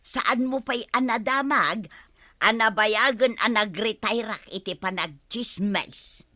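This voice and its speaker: A woman's voice: on the high side, slow, bright, forceful tone, dry recording, clean studio sound. A woman playing a chatty, gossipy village neighbour with an Ilocano accent in a radio comedy drama. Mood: chatty, earnest.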